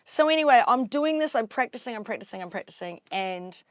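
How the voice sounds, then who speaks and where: A young woman with a New Zealand accent and a low voice, an MC telling her story in a lively, animated way as a podcast guest. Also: a little fast, no room sound, clean studio sound. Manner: lively, animated storyteller who acts out quotes. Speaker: drum and bass MC, guest. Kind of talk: casual interview storytelling.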